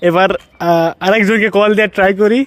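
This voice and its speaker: High-pitched young Bangladeshi man. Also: fairly even intonation, fairly quick, no echo. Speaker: prank video host. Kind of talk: casual vlog talk mixed with English words.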